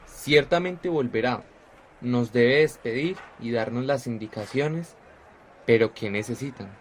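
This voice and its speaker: A man's voice: medium pitch, a little slow, slight background noise, lightly acted. A man playing a solemn preaching disciple. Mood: exhorting. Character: Esteban, a gentle earnest male disciple. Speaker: disciple exhorting the crowd. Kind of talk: radio drama acting.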